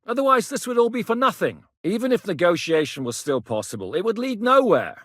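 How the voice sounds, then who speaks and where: Resolute rebel captain in a medieval game, bossy and shrewd, slightly high pitch, super dry studio sound. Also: male voice, big pitch swings, brisk, no room sound. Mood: grave, urgent. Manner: authoritative, decisive, plain-spoken. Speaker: military leader planning operations. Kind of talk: video game dialogue acting.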